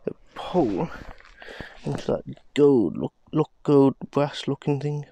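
A man in his thirties mutters through a casual vlog, recorded on a handheld phone outdoors among pine trees. His speech is slow and unhurried, with a gravelly, husky edge. Now and then he swears quietly under his breath. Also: medium pitch, animated. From Scotland. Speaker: vlogger. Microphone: handheld phone camera.

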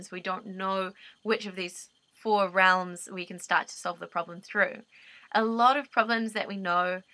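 A woman's voice: medium pitch, bright, forceful tone, dry recording. A young woman with a New Zealand accent, a permaculture designer giving an unscripted, earnest talk to her laptop camera in a dim room, often restarting as she searches for words. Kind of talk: unscripted explanatory monologue. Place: dim indoor room, seated. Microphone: laptop webcam at desk.

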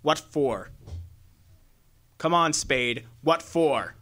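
An actor playing a suspicious, badgering police lieutenant in a hard-boiled radio drama, curt and confrontational. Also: male voice, on the high side, animated, drawn-out words, fairly bright tone, exaggerated voice.

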